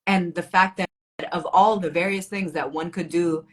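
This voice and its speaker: A woman with a low-pitched voice speaks as a guest poet in a casual literary conversation. She is bilingual in Arabic and English, and talks in a reflective, informal way with dry wit. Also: steady tone, normal speed. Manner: reflective, informal, wryly humorous.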